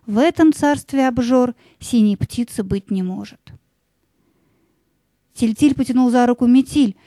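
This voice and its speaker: A woman's voice: a little high, mellow, no room sound. A woman narrator telling a scripted fairy tale as part of an audio play.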